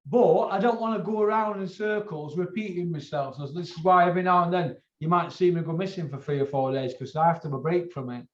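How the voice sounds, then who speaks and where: A man's voice: high voice, fast, few pauses. A man with a Northern English accent chatting casually as host, in a rambling, colloquial way that circles back on itself. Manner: rambling, colloquial, sweary, repeats himself. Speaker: host of a boxing video channel. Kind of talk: casual chat. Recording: roomy, dull lo-fi sound.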